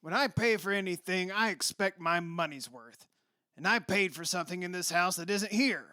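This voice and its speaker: A man's voice: sits high, normal speed, sharp tone, clean tone, no room sound, studio quality. A man acting in a radio play as a brash, blunt, middle-aged rich father who is impatient and demanding. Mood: brash, indignant. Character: brash wealthy father who bought an old mansion.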